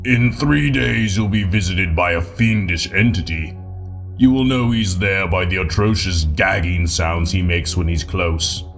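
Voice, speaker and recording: Man doing a solemn, booming old wizard. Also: unhurried, soft background music. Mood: grave, ominous. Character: weary old male wizard, lonely, solemn, easily offended. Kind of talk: comic audiobook character voice.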